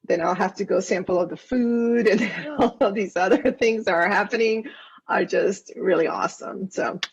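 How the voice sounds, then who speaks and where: A woman's voice: medium pitch, moderate pace, vocal fry, dull audio. A woman originally from Venezuela, a councilmember giving earnest, effusive remarks at a council session and often restarting her phrases. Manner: earnest, effusive, repeats words when she restarts. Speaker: county councilmember.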